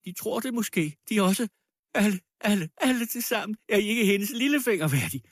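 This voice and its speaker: A man voicing a frantic, anguished sick widow in a storybook reading, hoarse and tearful. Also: high-pitched, lively intonation, dry recording, studio quality, voice pushed up. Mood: desperate, wailing. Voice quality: hoarse, plaintive. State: crying.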